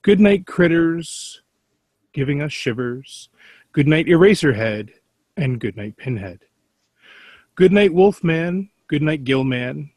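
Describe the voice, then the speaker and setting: A male podcast panelist reads a parody bedtime story. He takes it slowly and evenly paced, in a dry recording with little echo. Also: a little high, expressive, a little mellow.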